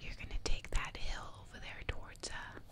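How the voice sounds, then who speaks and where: A young woman voicing a casually menacing jungle giantess in a soft, chatty whispered ASMR roleplay, close to the microphone. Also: natural voice. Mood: matter-of-fact. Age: young adult. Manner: soft, slow, repetitive, chatty. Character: casually menacing female jungle giantess who eats people.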